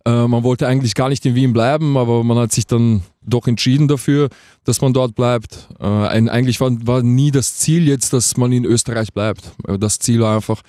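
A man's voice: medium pitch, steady tone, moderate pace, warm tone, dry recording, studio quality. A man, chatting casually as a guest in a radio interview. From Vienna, Austria. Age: 20s. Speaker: guest rapper being interviewed.

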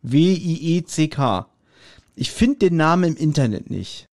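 A man with a fairly high voice co-hosts a podcast. He talks casually, as in a chatty review between two hosts. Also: animated, unhurried, darkish tone, slight background noise. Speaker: podcast co-host. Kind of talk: casual audio drama review chat.

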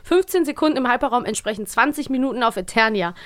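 A woman's voice: fairly high pitch, expressive, fast talker, a bit bright. A woman co-hosting a podcast in casual chat, giggly and enthusiastic. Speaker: podcast co-host.

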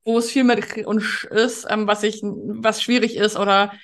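A woman's voice: medium pitch, flat delivery, normal speed. A woman hosting a podcast interview in a chatty, conversational way, speaking directly to her guest and listeners.

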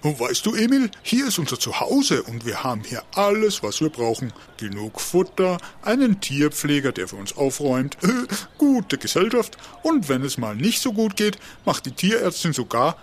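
A man's voice: a little high, moderate pace, hardly any pauses. A man playing an easygoing, jovial male gibbon, a welcoming buddy who talks casually in a children's audio drama. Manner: casual, chuckling, relaxed phrasing.

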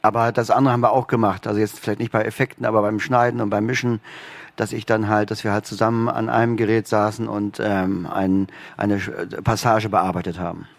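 Man, workshop leader on a panel, halting delivery, dry close mic. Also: lowish voice, flat delivery, creaky, no room sound. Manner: hesitant, many fillers. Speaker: workshop leader, sound engineer. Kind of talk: panel discussion. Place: stage at a festival panel.

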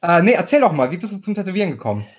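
A man's voice: sits high, very animated, rapid-fire. A man hosting a casual podcast over a voice call, joking and hesitant, with many äh fillers. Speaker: podcast host.

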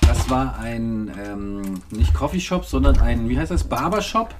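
A middle-aged woman with a cold, co-hosting a casual chat with her child, teasing and quick to laugh. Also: a bass voice, noisy background. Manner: teasing, chatty, laughs readily. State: has a cold. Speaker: podcast co-host with her child.

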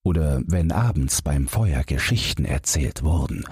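A man's voice: a bass voice, lively intonation, a little slow, darkish tone, husky, no echo, studio quality. A man reading a scripted fairy-tale audiobook narration for bedtime. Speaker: audiobook reader.

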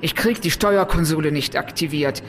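A woman's voice: deep voice, moderate pace, a little hiss or hum. A woman playing an aggressive, crude, sneering armed escapee in a sci-fi audio drama. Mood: sneering, impatient.

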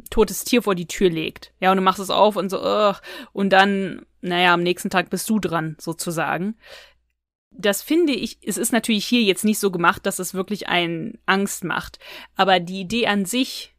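A woman's voice: mid-range voice, measured pace, fairly bright tone, no echo. Female podcast co-host, mid-range voice, conversational and opinionated, casual review talk, dry sound. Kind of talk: casual review chat about audio dramas.

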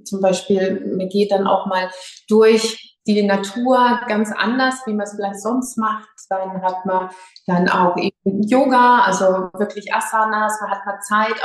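A yoga teacher from Lower Franconia, talking as a guest in a hesitant, slightly nervous way with many fillers. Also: female voice. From Lower Franconia, Germany. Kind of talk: casual interview. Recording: echoey room.

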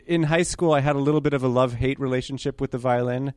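A man's voice: mid-range voice, steady tone, fast talker, darkish tone, dry recording. Young man from New York, candid and rambling, dry close sound. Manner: chatty, self-correcting, apologetic asides. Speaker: guest conductor candidate. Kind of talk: conversational storytelling interview.

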